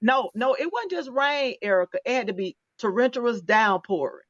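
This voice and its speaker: A woman's voice: on the high side, lively intonation, moderate pace, crowd noise. Chatty woman in her fifties on a dull tablet mic. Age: 50s. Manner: chatty, laughing, restarts often. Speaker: podcast host. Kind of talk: casual interview chat.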